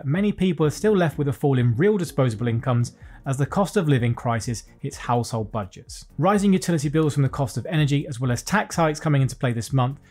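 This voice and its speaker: A British man in his thirties presenting a scripted finance news roundup from a small home studio, reading headlines briskly and dropping wry jokes between them. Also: mid-range voice, darkish tone. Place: small home studio with desk and lights, indoors.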